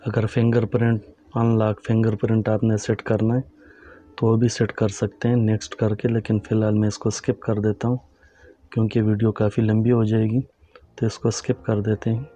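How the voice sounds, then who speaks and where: A man calmly narrating a step-by-step phone tutorial and talking to viewers as friends. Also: fairly low pitch, moderate pace, soft background music. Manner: calm, instructive, addresses viewers as friends. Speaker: tech tutorial host.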